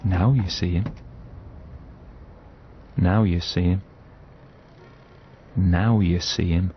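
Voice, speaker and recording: Low British man, terse dull-sounding voice-over. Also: fairly even intonation, extremely slow. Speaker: road safety narrator. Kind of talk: terse repetitive scripted voice-over. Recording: dull, noisy old film soundtrack.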